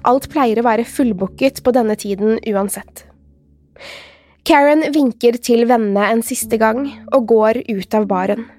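A woman's voice: fairly high pitch, darkish tone, no echo. A woman, a podcast host reading a scripted true crime narration.

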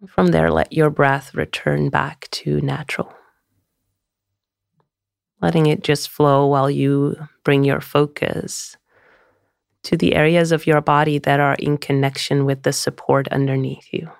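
A woman guiding a meditation in a soothing, gentle, unhurried way, often trailing into a breathy near-whisper. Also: low-pitched, little pitch movement, no echo, clean studio sound. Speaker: meditation guide. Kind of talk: guided meditation.

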